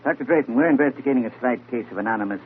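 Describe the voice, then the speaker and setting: A man's voice: mid-range voice, racing, natural voice. Authoritative older radio-play police inspector, played by a man, blunt, on a tinny, noisy recording. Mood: stern, businesslike. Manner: blunt, commanding. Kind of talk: 1940s radio acting. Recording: narrow-band old radio recording, loud noise.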